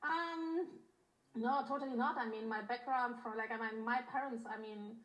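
A woman's voice: on the high side, normal speed, fairly bright tone, rough recording. A young adult woman from Germany, chatting as a podcast guest, giggly and full of fillers and restarts. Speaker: guest, pleasure and intimacy coach. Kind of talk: casual podcast interview.

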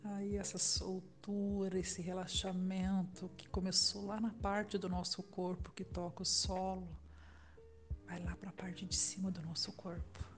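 A Brazilian woman guiding a relaxation meditation in a soft, soothing way, with long pauses and breathy phrase endings. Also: on the low side, little pitch movement. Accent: Brazilian Portuguese. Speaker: meditation guide. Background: background music.

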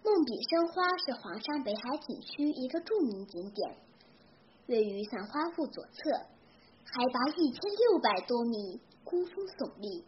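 A teenage girl from Hefei narrating a scripted legend in a careful, expressive recital style. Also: fairly high pitch, measured pace. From Hefei, Anhui, China. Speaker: young storyteller. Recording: dull lo-fi recording with clear background noise.

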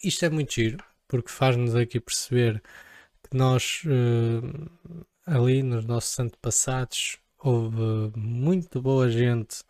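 A man's voice: medium pitch, fairly even intonation, takes it slowly, a little mellow, no room sound, clean studio sound. A young man from Portugal with a European Portuguese accent, chatting casually to camera in a joking, rambling way. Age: young adult. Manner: joking, rambling, playful asides. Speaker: vlogger.

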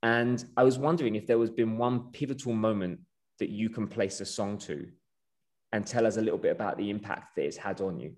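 Male podcast host with a lowish voice; warmly effusive, winding, earnest questioner. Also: fairly quick. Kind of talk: casual podcast interview.

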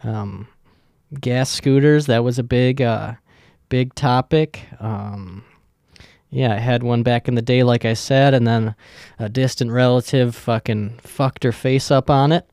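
A man's voice: medium pitch, fairly even intonation, a little slow, darkish tone, clear, resonant tone, no room sound, studio quality. A young man hosting his own podcast, talking in a loose, joking and rambling way, with lots of swearing. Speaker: podcast host. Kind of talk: casual rambling monologue.

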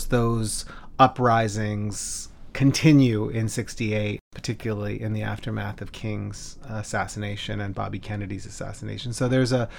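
A man's voice: fairly low pitch, gravelly, slight background noise. Man giving thoughtful, emphatic historical commentary. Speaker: scholar, expert commentator.

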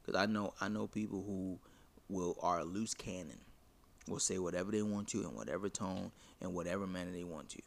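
A man's voice: deep voice, a little fast, gravelly. A man arguing his point in a heated casual conversation, blunt and profane.

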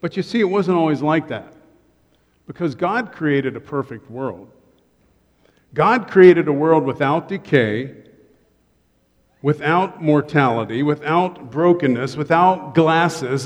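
A middle-aged man preaching a humorous sermon to his congregation from a church stage, with joking, self-deprecating asides. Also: fairly high pitch. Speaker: pastor. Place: church sanctuary. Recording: long reverb of a church hall.

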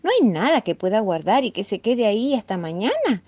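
A woman's voice: mid-range voice, sing-song, a little fast, darkish tone, no echo, voice pushed up. A woman voicing an eager little boy bunny who talks. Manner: eager, childlike. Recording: dull lo-fi recording.

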